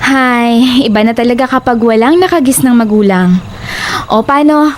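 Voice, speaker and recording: A woman playing a chatty, blunt young best friend in an audio drama, teasing and sharp-tongued. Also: on the high side, moderate pace, slight background noise, lightly acted. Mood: breezy, caring.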